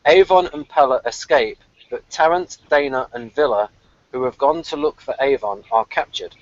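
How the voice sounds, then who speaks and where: A man's voice: mid-range voice, normal speed, dry recording. Man co-hosting, dry muffled sound. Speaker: co-host of a TV episode review show. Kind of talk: casual review chat and read-aloud episode summary. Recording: dull, lo-fi online call audio.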